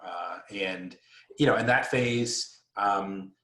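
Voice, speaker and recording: A man with a very deep voice speaks haltingly on a panel, hesitating often. His voice sounds roomy and echoey, as if he is talking in a bare room. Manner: halting, soft-spoken, many hesitations. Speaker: startup founder and panelist. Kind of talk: casual panel talk.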